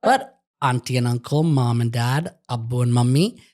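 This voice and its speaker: A man's voice: medium pitch, expressive, normal speed, darkish tone. A man from New Jersey hosting a podcast, teasing and upbeat in casual interview chat. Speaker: podcast host.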